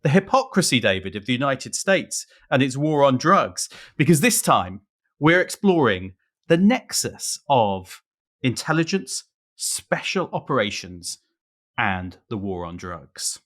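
A man's voice: mid-range voice, unhurried. A British man co-hosting a podcast, talking in an emphatic, conversational way. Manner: animated, emphatic, stretches key words. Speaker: podcast co-host.

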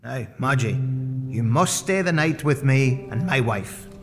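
A man's voice: mid-range voice, lively intonation, measured pace, slightly rough, faint music behind. An actor playing a friendly but sly man who talks in a chummy, ingratiating way, recorded live on stage. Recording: live stage, hall reverb.

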